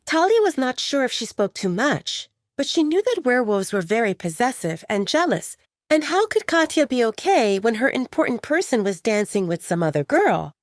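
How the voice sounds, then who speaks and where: A woman's voice: on the high side, very animated, moderate pace, no room sound, clean studio sound. A woman reading scripted romance fiction as a dramatic audiobook narrator.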